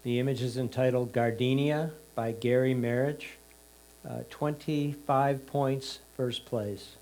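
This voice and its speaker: A man's voice: mid-range voice, fairly even intonation, slow pace, dark tone, poor audio. A man announcing competition titles and scores in a formulaic way.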